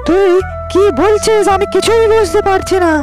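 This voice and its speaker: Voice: very high voice, steady tone, moderate pace, music in the background. A performer voicing a clever young farmer in a cartoon, firmly arguing and repeating his points. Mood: indignant. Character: clever, firm young farmer man standing his ground. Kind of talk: Bengali cartoon character dialogue.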